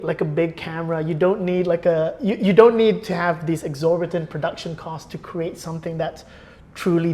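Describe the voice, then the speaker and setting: A man speaking as a guest in a relaxed, conversational podcast discussion. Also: fairly high pitch, a little hiss or hum.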